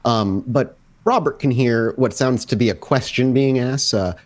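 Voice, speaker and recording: A man narrating a tabletop roleplaying game as storyteller, describing scenes off the cuff with pauses. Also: medium pitch.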